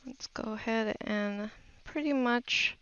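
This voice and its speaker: A woman narrating a casual art tutorial, unhurried and musing aloud as she works. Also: a little high, fairly bright tone, clear, resonant tone, no room sound, rough recording. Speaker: digital painting tutor.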